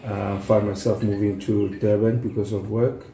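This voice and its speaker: A South African man in his thirties talks casually, with halting phrasing. His voice is lowish and very dark and soft-edged, recorded in a roomy, echoing space. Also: flat delivery. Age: 30s. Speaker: podcast guest. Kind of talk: casual self-introduction. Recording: echoey room, faint background noise.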